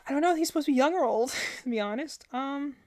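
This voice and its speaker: A woman's voice: high voice, fast talker, a little mellow. A woman streamer chatting casually and thinking aloud as she gives rambling, opinionated reactions. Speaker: streamer reacting to game character trailers.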